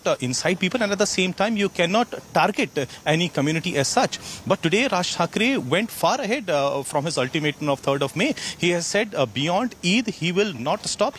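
A man in his thirties with an Indian accent, giving an emphatic, halting live news report. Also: fairly high pitch, fairly bright tone. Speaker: field reporter. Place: outdoor rally venue at night. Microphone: handheld reporter microphone. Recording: faint outdoor background noise.

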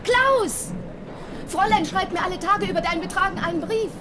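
A woman's voice: very high-pitched, moderate pace. An actress playing a strict but loving mother giving admonitions in a children's radio play. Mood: urgent admonishing. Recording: noisy, crowded station scene. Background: loud crowd and vehicle noise.

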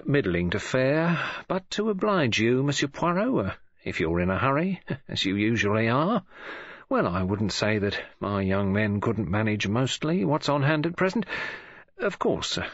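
A man's voice: mid-range voice, no room sound, pitched above the performer's own voice. Man doing a grumbling old investigator. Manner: grumbling, rambling, hesitant. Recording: dull lo-fi audiobook recording.

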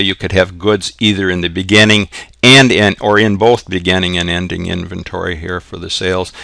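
Male instructor, very low gravelly voice with a bright edge, step-by-step explainer, informal delivery. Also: no room sound, clean studio sound. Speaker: accounting instructor. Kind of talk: informal tutorial narration explaining worked examples step by step. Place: close indoor voice-over for a screen recording.

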